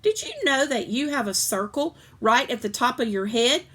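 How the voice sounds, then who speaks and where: A woman's voice: a little high, animated, moderate pace, a bit bright, breathy edge, pitched above the performer's own voice. A woman voicing a bold, curious young girl in a children's story, speaking frankly and chirpily.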